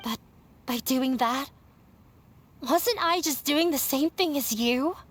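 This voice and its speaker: A determined young woman in a video game, speaking slowly with a high voice. Each word is measured and firm. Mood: resolute. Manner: measured and resolute. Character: young woman standing up to her family, resolute.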